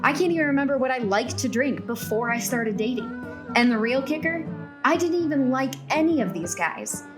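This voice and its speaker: A woman's voice: high voice, animated, moderate pace. Frazzled, wry single woman, music behind. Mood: rueful, wry. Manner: wry, exasperated. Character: frazzled, self-mocking single woman venting about bad exes. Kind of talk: radio play acting.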